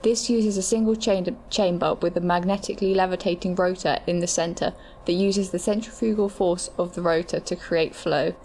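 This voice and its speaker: A woman's voice: on the low side, steady tone, moderate pace, hardly any pauses, mellow, slight background noise, lightly acted. A woman playing a matter-of-fact medical adviser, reading scripted explanations in an audio drama. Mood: informative.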